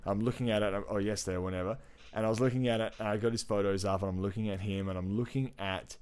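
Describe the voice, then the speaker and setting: A man's voice: on the low side, steady tone, fast, a little mellow, smooth. Slangy, enthusiastic man on a podcast. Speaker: podcast co-host. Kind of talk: casual pop culture chat.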